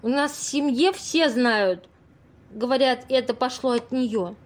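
A woman acts out a naive young woman in an audio play. She has a fairly high voice and speaks slowly, in a curious, questioning way with some hesitation. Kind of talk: amateur audio play acting.

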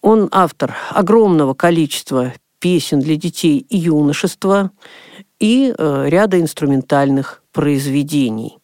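A man hosting a radio music programme, introducing old songs in a measured, formal way. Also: fairly high pitch, lively intonation, darkish tone, no echo, clean studio sound.

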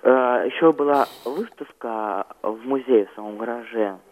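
A teenage male student being interviewed as a radio guest, recounting his experiences haltingly and searching for words. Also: mid-range voice, low-quality recording.

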